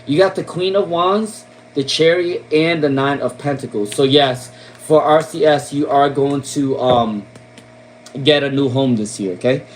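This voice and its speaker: A man with a Long Island accent and a fairly high voice works through a casual live tarot reading. He repeats each name and question several times, fills the pauses with "um," and checks in with "all right?" There is a faint hiss of noise behind him. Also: poor audio. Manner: repeats names and questions, ends lines with "okay?" and "all right?"; casual, chatty, lots of "um". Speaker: tarot reader.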